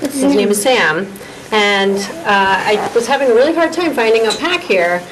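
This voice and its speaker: A woman's voice: on the high side, expressive, normal speed, rough recording. A woman guest, a scouting parent, talking earnestly on a local TV show, hesitant and full of fillers. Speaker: scout parent and pack organizer. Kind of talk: guest interview answers. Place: small cable TV studio.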